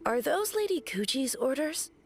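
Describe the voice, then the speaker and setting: A woman's voice: sits high, very animated, normal speed, slight background noise. A woman voicing a polite, dutiful young shrine maiden in a video game, formal and earnest. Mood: earnest, respectful. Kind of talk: video game character acting.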